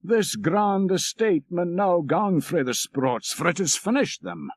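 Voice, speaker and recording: Storybook role: male reader as scandalized old Scottish countrywoman, gossipy and urgent, pitched high, swooping delivery. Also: lively intonation, unhurried, no room sound. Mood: alarmed.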